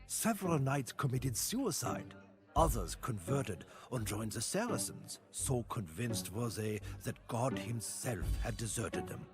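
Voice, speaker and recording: Very expressive, solemn male storyteller. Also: medium pitch, unhurried, over music. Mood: grave. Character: solemn male storyteller recounting medieval campaign history. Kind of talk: scripted dramatic narration.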